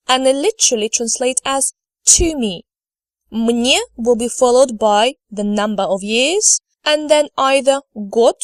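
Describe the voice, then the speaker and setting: A woman gives a slide lecture in a measured, unhurried way, modelling each word carefully so learners can repeat after her. Her voice sits fairly high. The recording is dry and close, with no room sound. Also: no echo. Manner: slow, careful delivery, models words for repetition. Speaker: language teacher.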